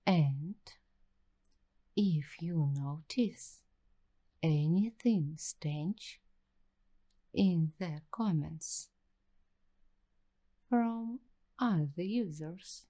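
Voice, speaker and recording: A woman speaks very slowly in a soft, mellow, hushed voice, slipping into whispers. She plays a paranoid, secretive waitress in an ASMR mystery roleplay, weighing each phrase with care. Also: deep voice. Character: paranoid young waitress investigating a creepy attic mystery. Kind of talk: ASMR roleplay, slow scripted monologue.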